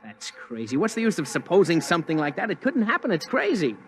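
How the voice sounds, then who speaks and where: A man's voice: on the high side, huge pitch swings, quick pace, slight background noise. An actor playing a blunt, streetwise man in a 1950s radio drama, talking in a folksy, dismissive way. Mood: skeptical. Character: blunt streetwise middle-aged everyman, skeptical.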